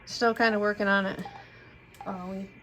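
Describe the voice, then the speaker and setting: A woman's voice: mid-range voice, flat delivery, moderate pace, a bit bright, slight background noise, rough recording. A woman hosting a vlog, explaining things in a casual, plain-spoken, unscripted way. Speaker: vlog host.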